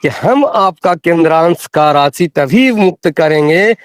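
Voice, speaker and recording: Man, higher-pitched voice, dry sound, insistent explainer, phone discussion. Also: expressive, measured pace, dry recording. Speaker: interviewee explaining teacher rules. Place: recorded audio call.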